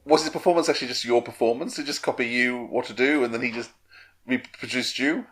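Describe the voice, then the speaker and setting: A man's voice: medium pitch, fast, fairly bright tone. A man casually asking a guest questions as a podcast co-host.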